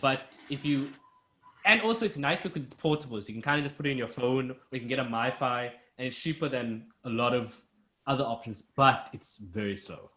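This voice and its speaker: A young man, co-hosting a podcast in relaxed, rambling casual chat. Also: mid-range voice, brisk. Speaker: podcast co-host. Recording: roomy echo.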